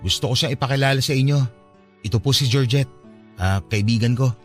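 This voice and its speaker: A man's voice: mid-range voice, a little fast, faint music behind, close to an everyday voice. An actor playing a hurt young son who craves his father's love, earnest and pleading in a radio drama. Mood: respectful, tentative. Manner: polite and pleading, wounded.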